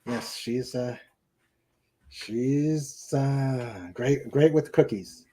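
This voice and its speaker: Slow, mellow man hosting a casual chat. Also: medium pitch, slight background noise. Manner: hesitant, rambling, repeats phrases. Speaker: show host. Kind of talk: casual live chat, reading viewer comments. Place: at home in a room with a couch.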